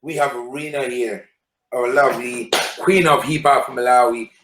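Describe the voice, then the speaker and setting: A man's voice: mid-range voice, steady tone, normal speed, a bit bright. A man hosting a casual interview show, upbeat and promotional, repeating calls to action to viewers. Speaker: show host. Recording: roomy echo.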